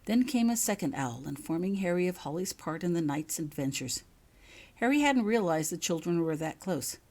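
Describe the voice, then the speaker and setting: A woman's voice: sits low, a little mellow, slightly rough. A woman reading her own written story aloud as scripted audiobook narration.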